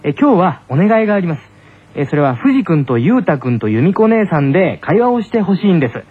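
Man co-host, polite, agreeable, very husky, quick, casual chat, tinny sound. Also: fairly high pitch, big pitch swings. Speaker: radio show co-host. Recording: tinny narrow-band radio.

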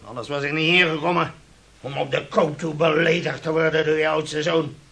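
An actor in a Dutch radio play, playing a haughty, hard-nosed shipowner who lectures and scolds indignantly. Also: male voice, on the high side, fairly even intonation, moderate pace, gravelly, fairly bright tone. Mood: stern, offended.

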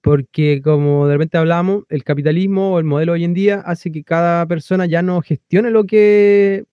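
A man, an interview guest explaining his views in a halting, philosophical, conversational way. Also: on the high side, steady tone, dark tone, clean tone, no room sound, dull audio.

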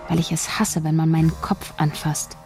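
A shy young scriptwriter turned bitter narrates her thoughts. Her voice sits low and mellow, with sardonic bite. Faint music runs beneath this radio play. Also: little pitch movement, normal speed. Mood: wry. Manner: sardonic, resentful inner voice. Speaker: narrator and protagonist.

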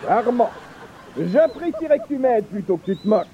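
A man's voice: extremely high pitch, big pitch swings, moderate pace, muffled recording, crowd noise. An actor playing a pompous, hapless male columnist with florid, formal wording in a radio comedy. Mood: sarcastic, grumpy. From Quebec. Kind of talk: 1940s-style radio comedy acting.

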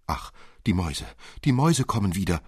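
A man's voice: deep voice, very animated, a little fast, husky, dry recording, clean studio sound, exaggerated voice. Man reading as earnest, anxious little girl. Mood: frightened. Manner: pleading, earnest.

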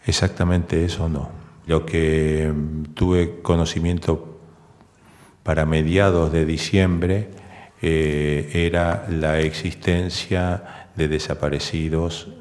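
Very deep, slow man in echoing room. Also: level tone, darkish tone. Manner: careful, qualifying his statements. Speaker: interviewee, witness. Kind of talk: hesitant interview testimony. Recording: echoey hall reverb.

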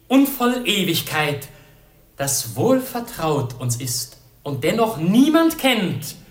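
Ardent young prince, slow and sweeping. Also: male voice, sits high, exaggerated voice. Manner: rapturous, poetic declamation. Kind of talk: classical German radio play acting.

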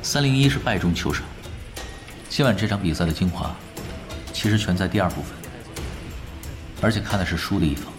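An actor playing a calm, knowing young veteran gamer in a Chinese radio drama, talking in an unhurried, wry way. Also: male voice, deep voice, music in the background. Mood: analytical, confident.

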